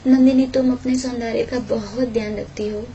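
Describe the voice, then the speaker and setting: A woman voicing a seductive, flattering male lover in an audio story, on a noisy home-style recording. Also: on the high side, moderate pace, muffled recording, close to an everyday voice. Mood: seductive, admiring. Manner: seductive, complimentary. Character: flirtatious, admiring male lover.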